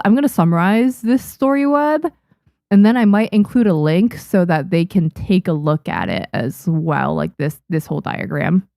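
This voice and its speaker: A woman's voice: mid-range voice, vocal fry, warm tone, no room sound, clean studio sound. A young woman, a native Japanese speaker, chatting casually on a live stream, reading and answering viewers' questions with many restarts and fillers. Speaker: streamer and manga artist.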